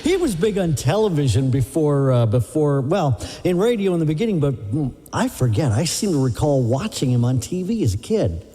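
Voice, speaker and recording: A man's voice: on the high side, big pitch swings, normal speed, hardly any pauses, warm tone. A man hosting a casual radio interview, asking questions and adding his own recollections. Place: live radio broadcast. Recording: long reverberant tail.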